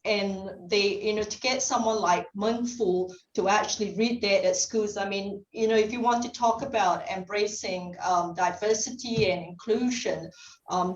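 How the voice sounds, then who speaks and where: A woman's voice: medium pitch, distant mic, lo-fi sound. Woman from Singapore, echoey call audio. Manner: hesitant, many fillers and restarts. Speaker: submitter at a council hearing. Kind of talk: oral submission, unscripted. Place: remote, speaking via video call.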